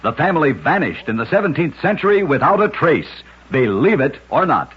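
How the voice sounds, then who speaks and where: A very husky man's voice, slightly high and full of lively movement, tells scripted strange tales in an emphatic 1940s radio manner. The old recording sounds muffled. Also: a little fast. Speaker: radio storyteller, host.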